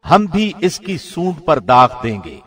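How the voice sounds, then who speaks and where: A man reading a scripture translation from a script in a formal, measured radio-announcer manner. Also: on the high side, very animated, fairly bright tone, husky, faint music behind. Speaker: translation reader, radio presenter.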